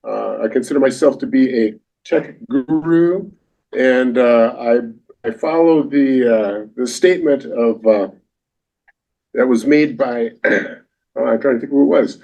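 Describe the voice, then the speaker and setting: A man's voice: medium pitch, unhurried, gravelly, mellow. A man leading an environment team, giving a rambling report at a community meeting while searching for words. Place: meeting room. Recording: dull, muffled audio.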